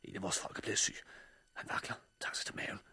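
A man's voice: sharp tone, in a whisper. An actor playing a sighted man who leads and narrates for a group of blind survivors in a 1960s radio drama. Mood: tense.

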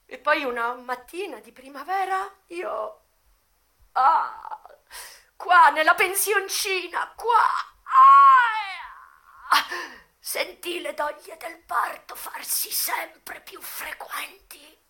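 An actress performing a dramatic radio monologue as a worldly, passionate American madam recalling her youth, expressive and full of pauses. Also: extremely high pitch, gravelly, full character voice. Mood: anguished, overwrought.

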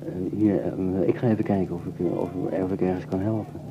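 A man's voice: low-pitched, fairly even intonation, fast talker. A man playing an anxious, brooding young man in a radio play, hesitant and stammering, repeating his words. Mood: brooding, fed up. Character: anxious, brooding young Dutchman fleeing people, troubled by women. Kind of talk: naturalistic radio play dialogue and muttered monologue. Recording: dull lo-fi tape with music.

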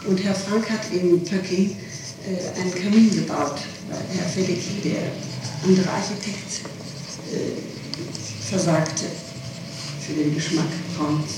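A woman's voice: fairly low pitch, steady tone, a little slow. A woman gives spontaneous testimony under questioning, often restating her words. She is heard from far off in a very echoey room, on a noisy old 1960s tape. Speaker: person being questioned in an interrogation. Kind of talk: interrogation testimony, spontaneous answers. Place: echoey room, recorded from a distance.

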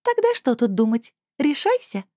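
A woman reader voicing a playful, coaxing female being with a soft, enveloping mezzo voice in a storybook. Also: a little high, very animated, moderate pace, no room sound, clean studio sound, pitched above the performer's own voice. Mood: persuasive. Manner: purring, teasing, coaxing.

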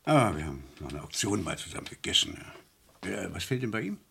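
An actor playing a gruff, testy older colonel with a husky, gravelly voice in a German radio crime play. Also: male voice, sits low, very animated, fast, bright, forceful tone, a little hiss or hum, heavily acted. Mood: uneasy. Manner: blustering, testy, muttering. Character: gruff, impatient retired colonel, indignant robbed art collector.

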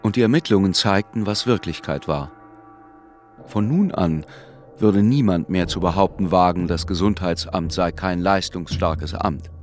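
A man narrating a literary radio drama in a measured, detached storytelling manner. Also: sits low, faint music behind. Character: sober male narrator of a literary tale.

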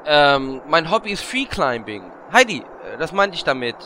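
A man's voice: fairly high pitch, very animated, normal speed, sharp tone. A man playing a whiny, lewd, quarrelsome hero in a fantasy parody audio drama, prone to exaggerated complaining. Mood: cocky, sheepish. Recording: background noise.

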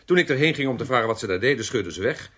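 A man's voice: mid-range voice, expressive, racing, fairly bright tone, husky. An actor playing a sheepish subordinate policeman in a radio crime drama, casual and offhand.

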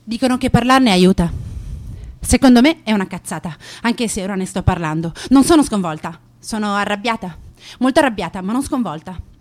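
A woman's voice: mid-range voice, animated, a little fast, darkish tone, natural voice. An actress playing a proud, manipulative teenage schoolgirl in a radio play monologue, sardonic, self-justifying and defiant. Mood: angry, defiant.

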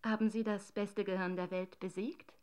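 An actress playing a polished, sensational television news presenter in a radio drama. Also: female voice, medium pitch, flat delivery, moderate pace, heavy background noise, natural voice. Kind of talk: scripted television news reading.

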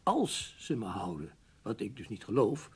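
An actor playing an anxious, gloomy middle-aged husband in a radio play, speaking in a fretful, wordy, hesitant way. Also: male voice, on the low side, very animated, measured pace, creaky, darkish tone, breathy edge, exaggerated voice. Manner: fretful, stammering, wordy and formal. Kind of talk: Dutch radio drama acting.

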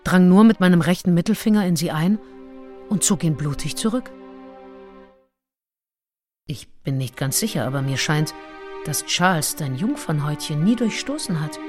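A woman's voice: low voice, animated, moderate pace, pauses often, a little mellow, faint music behind. An actress playing a self-assured, seductive nineteenth-century woman diarist, frank and intimate, in a radio play. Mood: intimate, puzzled. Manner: frank, matter-of-fact, intimate. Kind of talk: radio play, first-person diary reading.

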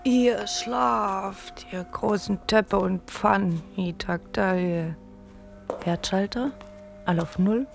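An actress playing a fragile, weary woman in her thirties in a German radio drama, halting and stammering, often breathy. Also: medium pitch, faint music behind. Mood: muttering to herself. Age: 30s. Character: fragile, weary, withdrawn woman of thirty-two working night shifts.

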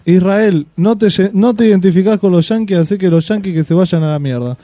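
Man, Argentine accent, radio host, teasing banter, high pitch, dark tone. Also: a little fast, no room sound. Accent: Argentine Spanish. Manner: joking, teasing, crude banter. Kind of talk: casual radio chat.

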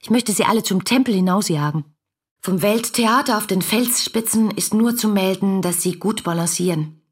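A woman's voice: mid-range voice, breathy edge. An actress in a radio drama playing an impassioned, infatuated female letter writer of the early nineteenth century, speaking in a poetic, intimate way. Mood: jealous, teasing.

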